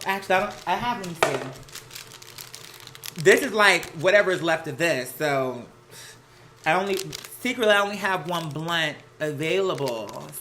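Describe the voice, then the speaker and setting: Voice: medium pitch, expressive, normal speed. An American livestream host chatting casually in a campy, sassy, slang-heavy way. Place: at home, laundry running nearby. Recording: some household noise behind the voice. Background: laundry noise.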